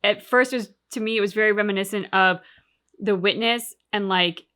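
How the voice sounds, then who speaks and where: A woman's voice: medium pitch, steady tone, a little fast, pushed and bright. A woman co-hosting a game reaction, talking casually and enthusiastically in loose, informal sentences. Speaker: reaction channel co-host. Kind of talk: casual reaction chat about a video game.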